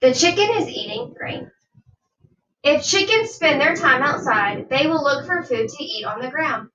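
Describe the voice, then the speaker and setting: A woman reading a nonfiction children's book aloud like a teacher, deliberate and instructive, with questions to her young listeners. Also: high voice, lively intonation, bright, forceful tone. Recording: dull, roomy sound, distant from mic.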